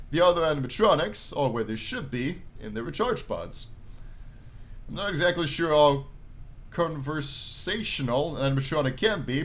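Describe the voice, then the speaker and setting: A man giving expressive let's play commentary, reading visual novel text aloud and adding his own drawn-out, enthusiastic asides. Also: a little high. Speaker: gaming YouTuber. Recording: noticeable background noise.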